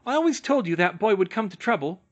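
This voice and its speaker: A man putting on Auntie Muriel, a shrill old woman. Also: lively intonation, fast talker. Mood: scornful. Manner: sneering, shrieking insults. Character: shrill, scornful old great-aunt.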